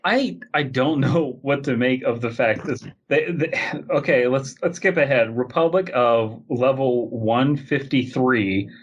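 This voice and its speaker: A man's voice: medium pitch, a little slow, husky, muffled recording. A man with a mid-range voice chats casually as a podcast co-host. He riffs in an incredulous, mock-enthusiastic way as he reacts to stories he reads out. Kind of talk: casual comedic chat, reacting to read-out web fiction.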